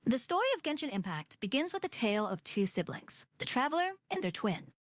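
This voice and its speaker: Lively, expressive woman narrator. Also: on the high side, brisk, no echo, studio quality, faint music behind. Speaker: story narrator. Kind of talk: scripted narration of a game's story.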